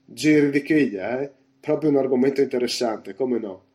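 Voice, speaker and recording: A man voicing a bluff one-legged pirate innkeeper in a storybook, loud and emphatic, firing off orders and questions. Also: mid-range voice, moderate pace, lightly acted. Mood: angry, stern. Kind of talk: audiobook character voice.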